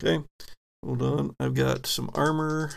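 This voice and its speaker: A man narrating a tabletop game as game master, with a halting, descriptive storytelling manner. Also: on the high side, little pitch movement, vocal fry.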